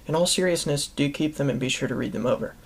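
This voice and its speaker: A man's voice: fairly high pitch, fast talker, husky. A man hosting a how-to video, giving instructional narration to viewers. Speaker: host of a how-to product video series.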